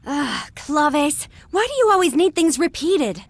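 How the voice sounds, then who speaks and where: Female rebel, video game, highish voice, sarcastic, brusque, dry sound. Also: very animated, measured pace, no echo, exaggerated voice. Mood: impatient, confident. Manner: curt, sardonic.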